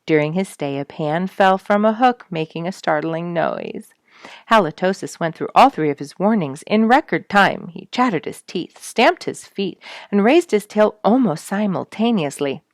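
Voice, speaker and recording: Low-voiced woman reading a story, dry recording. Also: lively intonation, moderate pace, no echo. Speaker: storyteller reading an audiobook chapter. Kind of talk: expressive storytelling narration of a nature book.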